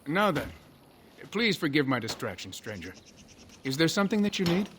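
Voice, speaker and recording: An actor playing a proud, fussy elven master craftsman in a video game, speaking formally and with measured scolding. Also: fairly high pitch, very animated, a bit bright, slight background noise. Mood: courteous, grave. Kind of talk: video game character dialogue.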